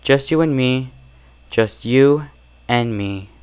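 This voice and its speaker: A man voicing a childishly sweet, obsessively possessive female pony who has lost her mind, in a dark story reading. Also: medium pitch, takes it slowly, smooth, close to an everyday voice. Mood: obsessive, dreamy.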